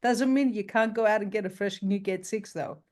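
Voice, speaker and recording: A woman's voice: mid-range voice, normal speed, darkish tone. A woman co-hosting a team video call, chatty and encouraging, talking to the group in a casual way. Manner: chatty, encouraging, laughs easily.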